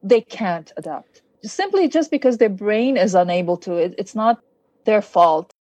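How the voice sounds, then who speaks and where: A woman with a foreign accent and a first language other than English speaks as a podcast guest. She explains earnestly, pausing on fillers, with a dry, close sound and a mid-pitched voice. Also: expressive, darkish tone, dry recording. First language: not English. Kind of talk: casual interview chat.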